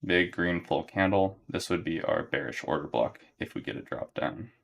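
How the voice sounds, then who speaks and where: A young man giving live trading commentary to his chat in a relaxed, monotone way, thinking aloud and often checking in with a "right?". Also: moderate pace, vocal fry, lo-fi sound, a little hiss or hum. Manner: monotone, relaxed, low-key. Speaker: day trader streaming live.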